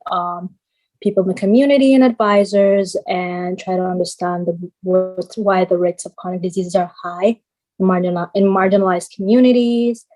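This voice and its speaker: A woman's voice: lowish voice, mellow, rough recording. A woman in her twenties, from Canada, introducing herself on a video call panel in a casual, lightly self-deprecating way.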